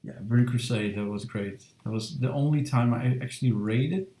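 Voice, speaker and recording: A man in his thirties chatting casually on a live stream while he draws, soft and relaxed, with lots of fillers as he reads chat. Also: medium pitch, moderate pace, reverberant. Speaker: streamer drawing fan art. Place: home studio room.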